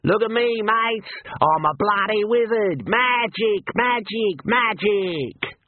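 A man voicing a cheerful, menacing male road bandit with a loud, mocking sing-song. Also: high voice, expressive, slow, voice pushed up. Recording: tinny phone-like dry sound.

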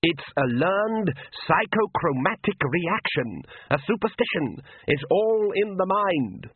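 A man performs an arrogant vampire count for a fantasy storybook. He lectures pompously, with a lively, swooping delivery and a husky edge. The sound is thin and phone-like. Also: sits high, slow, dry recording, pitched above the performer's own voice, full character voice. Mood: angry, blustering. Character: vain, domineering male vampire count, an arrogant father.

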